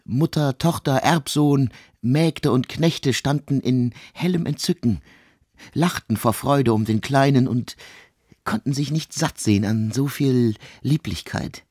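A man playing a cultured, intellectual composer uncle in a radio drama, speaking in a literary, measured way. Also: medium pitch, a little mellow, slightly rough, dry recording, clean studio sound. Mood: rapt, admiring.

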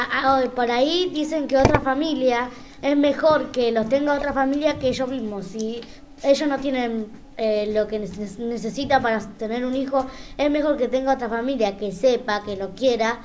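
A woman's voice: fairly high pitch, moderate pace, darkish tone. A woman with an Argentine accent hosting an informal live radio debate. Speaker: radio programme host. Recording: noisy live radio recording.

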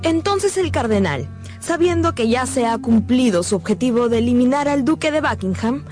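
A female narrator reads scripted radio drama narration. Her voice sits somewhat high, with clear music running behind it. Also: expressive, moderate pace, darkish tone.

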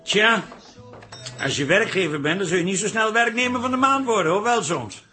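An actor playing a weary, teasing married pub landlord in a colloquial, wry way in a comic radio drama. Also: male voice, sits high, expressive, moderate pace, bright, forceful tone, soft background music.

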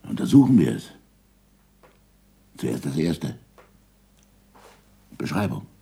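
Very deep, creaky old scholar. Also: male voice, big pitch swings, dark tone, exaggerated voice. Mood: probing. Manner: deliberate, didactic, probing questions. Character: Galileo, gruff scholarly older man, impatient yet passionate teacher. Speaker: actor in a radio play. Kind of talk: 1960s German radio drama acting. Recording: noisy old radio drama recording.

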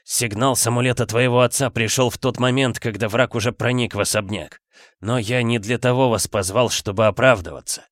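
A male narrator acts a wounded healer in a fantasy story. He is earnest and weak, with quiet, laboured speech. The sound is close and dry. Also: medium pitch, steady tone, a little fast, no room sound, natural voice. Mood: weak, urgent.

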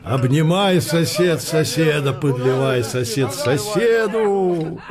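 A man reading literary narration in an unhurried, characterful storytelling manner. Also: fairly high pitch, animated, crowd noise. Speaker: narrator of an audio drama.

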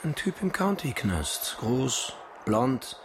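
Brief: hard-boiled, cool ex-con thief in radio crime drama; medium pitch, terse, clear background noise. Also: male voice, sing-song, takes it slowly. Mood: cool, businesslike. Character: cool, hard-boiled ex-convict car thief planning a robbery.

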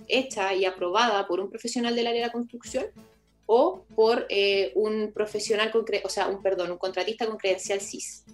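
A woman with a Chilean accent speaking as a municipal official in an interview, explaining at length and often rephrasing. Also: a little high. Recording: music playing behind the voice.

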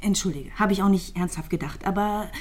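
A woman's voice: medium pitch, fairly quick, darkish tone, natural voice. An actress playing a sardonic, direct and sceptical female librarian in a radio drama.

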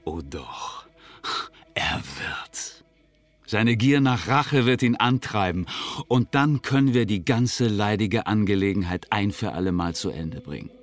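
An actor playing a cocky, scheming outlaw in a German western radio drama, glib, sly and needling. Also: male voice, on the low side, animated, measured pace. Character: slick, scheming outlaw swindler, cocky and vengeful.

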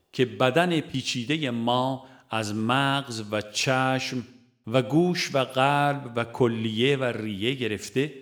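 A man narrating a religious audiobook in a measured, formal way, phrase by phrase. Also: medium pitch. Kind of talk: scripted audiobook reading of a religious book. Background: music.

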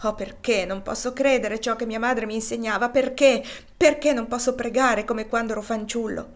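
A woman's voice: a little high, moderate pace, lightly acted. A woman voicing a grieving male plantation master full of doubt, in an anguished, questioning tone, as in an audiobook. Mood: despairing. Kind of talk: audiobook character reading.

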